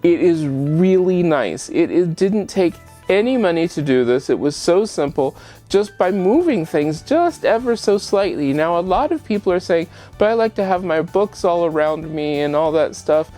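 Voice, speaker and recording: Male how-to vlog host, chatty and direct to viewer, slightly high voice over background music. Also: lively intonation, moderate pace, mellow. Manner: chatty, addresses viewer with rhetorical questions. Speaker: home show host. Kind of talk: casual how-to vlog presentation. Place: bedroom at home.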